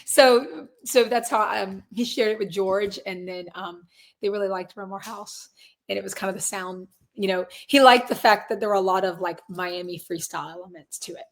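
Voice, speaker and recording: A woman in her twenties with a raspy, hoarse voice, chatting casually as a guest musician from a closet at her grandparents' house. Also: mid-range voice, steady tone, normal speed. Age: 20s. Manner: upbeat, laughs often, many fillers. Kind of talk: casual interview chat.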